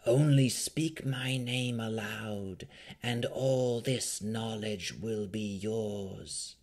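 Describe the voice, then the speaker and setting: Creepy tempting vampire ghost for a fantasy story, gravelly breathy whisper, drags every word out. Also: male voice, fairly low pitch, a little mellow, exaggerated voice. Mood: sinister, tempting. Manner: slow, drawn-out, ominous. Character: enigmatic tempting vampire spirit speaking in dreams.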